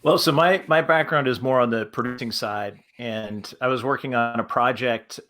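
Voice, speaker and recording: A man speaking informally as a panelist, halting and repeating words as he explains. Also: mid-range voice, sharp tone. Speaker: panelist, producer.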